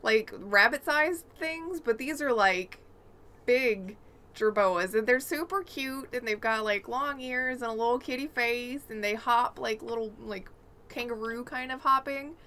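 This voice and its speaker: Bright-voiced woman in casual gaming chat. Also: fairly high pitch, a little slow. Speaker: podcast co-host.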